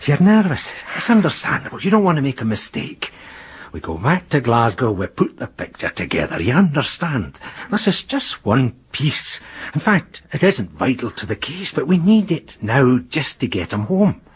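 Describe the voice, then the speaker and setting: Husky, bullying male police inspector. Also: medium pitch, sing-song, moderate pace. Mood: coaxing, pressing. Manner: insistent, browbeating interrogator. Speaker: actor. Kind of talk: radio crime drama acting.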